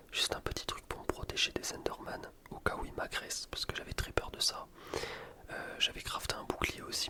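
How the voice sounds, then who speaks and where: A man commentating on gameplay in a breathy, unvoiced ASMR whisper, informal and explanatory. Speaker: gaming video creator. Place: voice-over recorded separately from gameplay.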